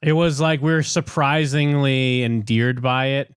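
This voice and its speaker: A man with a smooth voice chats loosely with his co-host, with lots of fillers and a playful pun now and then. It is recorded close and dry. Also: medium pitch, measured pace, no echo. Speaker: podcast co-host. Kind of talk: casual TV review chat.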